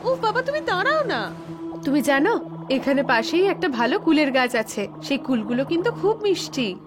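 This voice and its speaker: A playful, insistent young woman in a horror drama, voiced by an actress. Her voice is extremely high, and loud music runs underneath it. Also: very animated, normal speed. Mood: insistent, coaxing. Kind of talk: dubbed horror story dialogue. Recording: loud music over the dialogue.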